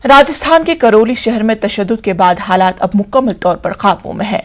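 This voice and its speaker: A woman's voice: medium pitch, lively intonation, moderate pace. Woman reading scripted television news. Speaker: news anchor.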